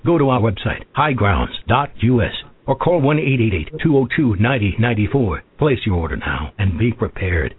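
A man's voice: on the low side, big pitch swings, moderate pace, few pauses, breathy edge. A man reading an upbeat radio commercial with a punchy salesman delivery. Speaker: commercial announcer. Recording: tinny phone-like broadcast.

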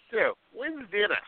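A man's voice: extremely high pitch, exaggerated voice. A man playing a cheeky, mischievous neighbour with a teasing manner in a radio play. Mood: playful. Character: Earl, cheeky, grinning male neighbour. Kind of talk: amateur radio play acting. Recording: thin phone-like audio, dry.

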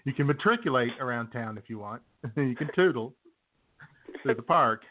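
A man's voice: mid-range voice, lively intonation, moderate pace. A man co-hosting a comedy podcast in casual, joking banter with a laid-back, drawling manner. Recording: thin phone-like band-limited audio.

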